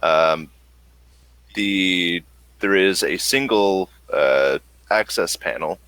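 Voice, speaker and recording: A man running a tabletop game, narrating in a halting way as he thinks aloud. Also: deep voice, a bit bright, clear, resonant tone, no room sound. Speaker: game master.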